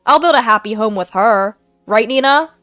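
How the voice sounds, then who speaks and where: Brief: cheating husband, young, loud and insistent, read by a woman narrator. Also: on the high side, animated, takes it slowly, dry recording, clean studio sound, faint music behind, pitched above the performer's own voice. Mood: defiant. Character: cheating young husband, pleading and self-righteous.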